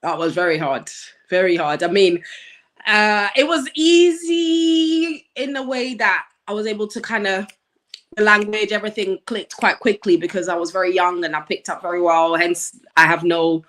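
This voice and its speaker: A woman in her thirties from Nigeria, a guest in a casual chat, thoughtful and quick to laugh. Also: medium pitch, a bit bright. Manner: laughs often, thoughtful, some ums.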